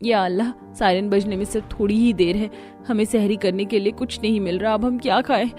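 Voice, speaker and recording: A woman playing a gentle, pleading young daughter-in-law. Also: mid-range voice, steady tone, a little fast, faint music behind, natural voice. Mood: worried, polite. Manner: polite, pleading. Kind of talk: Hindi cartoon story acting.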